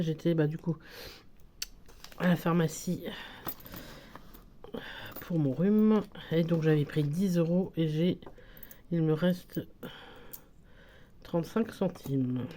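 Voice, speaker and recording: A woman's voice: on the low side, warm tone, slight background noise. A woman with a cold and a French accent, talking casually and hesitantly as she fills budget envelopes, with many fillers and self-corrections. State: has a cold. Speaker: budgeting vlogger. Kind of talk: casual talk while filling budget envelopes.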